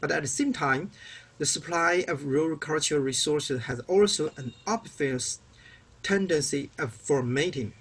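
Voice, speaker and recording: A man's voice: fairly high pitch, moderate pace, fairly bright tone, a little hiss or hum. Chinese-accented man reading a formal talk. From China. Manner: careful, formal, reading from a script. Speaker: guest researcher. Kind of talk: prepared presentation read aloud.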